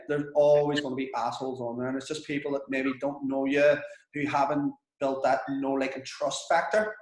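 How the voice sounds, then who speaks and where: A man's voice: fairly high pitch, echoey room, a little hiss or hum, low-quality recording. A man with a Belfast accent coaching a business group in a frank, chatty, sweary way. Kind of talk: casual coaching session with students.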